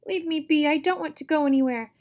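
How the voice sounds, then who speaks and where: A woman plays a bewitched little girl in a storybook tale. Her voice climbs high into shrill screams and pleading cries. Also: fast, darkish tone, natural voice. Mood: defiant screaming. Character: wild, frightened little girl under a spell.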